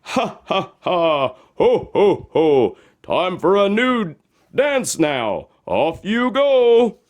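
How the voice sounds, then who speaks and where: Man as sing-song magic talking shoes, high-pitched. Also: sing-song, very slow, highs cut off, full character voice. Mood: gleeful. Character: pair of magic talking shoes, bossy, cheerful, encouraging. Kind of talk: storybook character voice.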